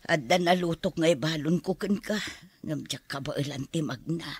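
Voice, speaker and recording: A woman's voice: deep voice, moderate pace, slightly rough, no echo. A woman playing a stubborn, complaining, ailing old mother in a radio drama, in a plaintive way. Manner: plaintive, obstinate.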